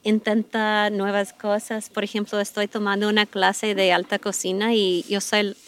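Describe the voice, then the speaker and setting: A woman's voice: medium pitch, level tone, normal speed. A middle-aged American woman, speaking Spanish as a second language, chatting thoughtfully as a guest, with many fillers and restarts. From United States. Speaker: guest, expat parent. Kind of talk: casual interview chat.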